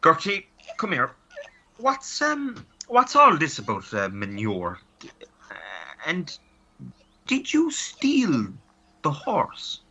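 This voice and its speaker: A bemused, easygoing Irish man from a comic radio play, played by an actor. His voice is bright and forward, on a slightly muffled recording, as he looks on curiously. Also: mid-range voice, wildly swooping pitch, takes it slowly, long pauses, slight background noise, heavily acted. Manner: bemused running commentary.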